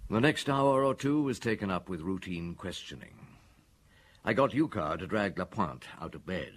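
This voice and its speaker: An actor playing a weary, middle-aged male chief inspector in a radio drama, speaking in a measured, understated, reflective way with a husky voice. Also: deep voice, lively intonation, slight background noise. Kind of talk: radio drama narration and dialogue.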